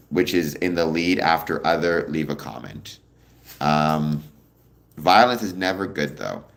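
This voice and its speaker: Deep, gravelly-voiced man chatting on a livestream. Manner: relaxed, rambling, many ums, answers chat questions. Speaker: livestream host. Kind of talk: casual livestream chat reading viewer comments.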